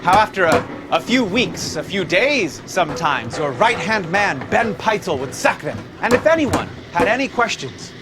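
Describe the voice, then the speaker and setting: An actor on stage playing a sardonic, needling accuser who narrates dark crimes in a pointed, dramatic way. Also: male voice, high voice, big pitch swings, normal speed, hardly any pauses. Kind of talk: period stage play acting. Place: theatre stage. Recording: live theatre stage recording with music.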